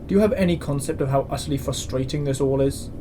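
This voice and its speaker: A man's voice: medium pitch, fast, close to an everyday voice. A man playing a stern, exasperated military commandant in a science-fiction audio drama, speaking with curt authority. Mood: exasperated, accusing. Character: stern, exasperated male space station commandant. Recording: clear background ambience noise.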